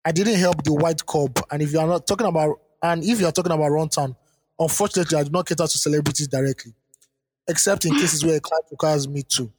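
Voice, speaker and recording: A man co-hosting a podcast, reading mail and chatting at a brisk clip. His voice sits a little high with a husky edge. A long reverb tail trails behind his words. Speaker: podcast co-host. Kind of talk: reading listener mail aloud, then casual discussion.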